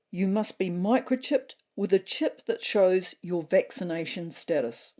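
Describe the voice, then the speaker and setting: A woman's voice: mid-range voice, warm tone. A woman with a New Zealand accent voicing a bossy female cat who lays down rules in a pompous, commanding manner.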